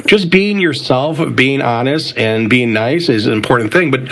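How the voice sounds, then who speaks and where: Male panelist, average pitch, sincere and somewhat halting in casual discussion. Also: lively intonation, fairly bright tone. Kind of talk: casual opinion in a panel chat.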